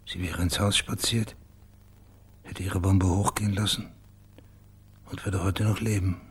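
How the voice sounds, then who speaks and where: A man's voice: deep voice, steady tone, measured pace, husky, heavily acted. An actor playing a laconic, matter-of-fact male private detective in a radio drama, persistent in his questioning. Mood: sober, musing.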